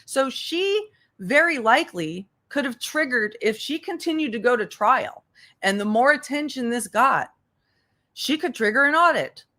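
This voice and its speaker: A woman's voice: high-pitched, expressive, measured pace, sharp tone. A woman giving casual, unscripted livestream commentary in a blunt, profane, exasperated manner, repeating points for emphasis as she goes. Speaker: livestream host and legal commentator.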